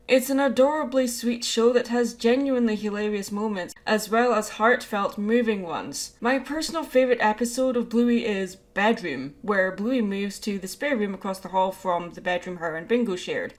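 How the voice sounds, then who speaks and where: A woman narrating a personal video essay as the host of her channel. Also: a little high. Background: background music.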